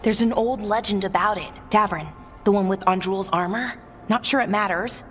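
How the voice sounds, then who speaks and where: A woman's voice: mid-range voice, moderate pace, in a large hall, a little hiss or hum, natural voice. A woman playing an earnest, thoughtful elven scholar who explains things in natural fantasy game dialogue. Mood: earnest, explaining.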